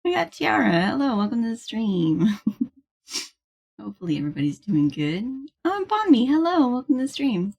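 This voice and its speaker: A woman livestreamer chatting casually with viewers in a bubbly, giggly manner, with a slightly husky voice. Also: a little high, big pitch swings, moderate pace, a little mellow, dull audio. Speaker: livestreamer hosting a gaming stream. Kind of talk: casual stream chat with viewers.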